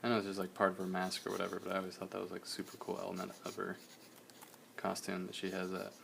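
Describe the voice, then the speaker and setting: Deep, gravelly man, slightly hoarse. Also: fast, a little hiss or hum. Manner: relaxed, rambling, many fillers. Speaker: artist streaming a drawing session. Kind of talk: casual live-stream chat while drawing.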